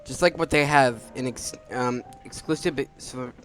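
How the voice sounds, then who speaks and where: A man's voice: medium pitch, moderate pace, fairly bright tone, soft background music. A man giving informal gameplay commentary, stumbling over his words now and then in a self-deprecating way. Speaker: gaming video host.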